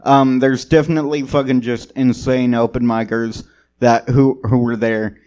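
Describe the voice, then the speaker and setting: A man's voice: medium pitch, little pitch movement, a little mellow. A man co-hosting a casual podcast chat who laughs a lot and swears as he talks. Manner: laughs a lot, drawn-out, profane. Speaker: podcast co-host.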